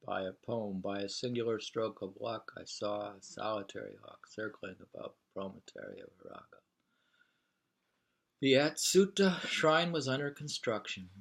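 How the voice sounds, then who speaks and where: A man reading poetry and travel prose aloud informally, in a halting, deliberate way. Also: fairly low pitch, vocal fry. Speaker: reader of a poetry travel sketch.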